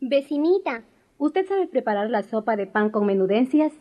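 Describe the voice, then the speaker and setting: An actress playing a naive, boastful young newlywed housewife in a radio drama. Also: female voice, fairly high pitch, big pitch swings, moderate pace. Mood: sweet, asking. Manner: sweet, wheedling, then smugly dismissive. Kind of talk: 1990s radio drama acting. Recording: dull lo-fi radio recording, music behind.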